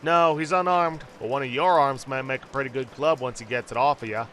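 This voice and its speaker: A man's voice: medium pitch, brisk, fairly bright tone, slight background noise, natural voice. A man voicing a cheerful, joshing hobo from a video game, with folksy, jovial phrasing. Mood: friendly, folksy. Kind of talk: read-aloud game character dialogue.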